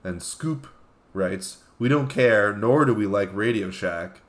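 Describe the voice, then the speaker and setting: A man co-hosting a casual comedy talk show, phrasing things in a halting, deliberate way. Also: fairly low pitch, animated. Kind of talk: casual comedic chat, reading out tweets.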